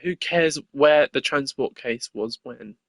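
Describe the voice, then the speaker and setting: A man's voice: mid-range voice, slow pace, fairly bright tone, no room sound. A man voicing an indignant, dismissive male prosecutor arguing in a courtroom game. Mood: scornful. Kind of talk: dramatic visual novel courtroom reading. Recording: game music behind the voice.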